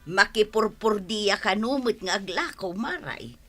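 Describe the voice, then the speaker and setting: A woman's voice: mid-range voice, lively intonation, unhurried, fairly bright tone, faint music behind. A woman playing an outspoken woman in a radio drama, speaking with an Ilocano Filipino accent. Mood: chatty.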